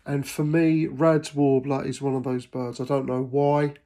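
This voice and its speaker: A middle-aged man from England talks through his birding trips in a relaxed voice-over. He sounds enthusiastic but stops often with ums and uhs, his pitch sitting in the middle. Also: unhurried. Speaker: birding vlogger. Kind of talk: casual voice-over narration.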